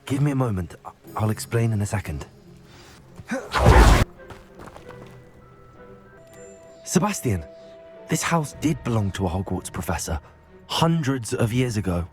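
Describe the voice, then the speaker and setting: An actor with an English accent playing a composed, inquisitive young student wizard in a video game, speaking politely and in a measured, articulate way. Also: male voice, lowish voice, very animated, over music. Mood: urgent, wondering. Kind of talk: scripted video game dialogue.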